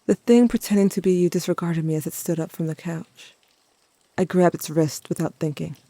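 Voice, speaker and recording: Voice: mid-range voice, fairly even intonation, fairly quick, dark tone, dry recording, lightly acted. A performer playing a grieving teenager who narrates events intimately, addressing a sibling, in an audio drama. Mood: uneasy, grieving.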